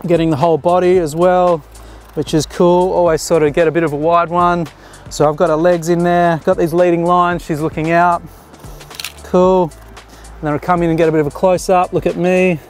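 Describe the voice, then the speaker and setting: A man's voice: a little high, normal speed, faint music behind. Laid-back man directing a beach photo shoot. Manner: relaxed, directing a model while explaining. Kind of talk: casual behind-the-scenes commentary. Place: outdoors on a beach jetty.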